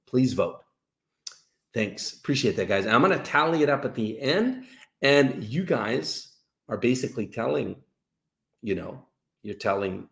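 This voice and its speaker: A man with a gravelly edge and an animated, widely swinging pitch hosts a casual livestream. He explains haltingly and emphatically, often repeating himself to drive a point home. The sound is roomy and echoey. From Canada. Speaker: host of a live dating-advice show. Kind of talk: casual livestream chat with viewers.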